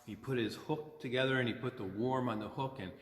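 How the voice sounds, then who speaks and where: A man in his sixties, a priest, talking informally and simply to children at storytime, gently explaining and checking in with questions. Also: mid-range voice, fairly even intonation, a little fast. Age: 60s. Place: inside a church. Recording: echoey, long reverb of a church.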